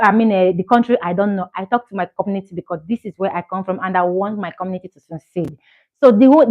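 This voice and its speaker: A woman's voice: mid-range voice, lo-fi sound. A Nigerian woman in her thirties chatting earnestly to camera as a vlogger, encouraging and repeating herself for emphasis. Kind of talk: casual chat to camera.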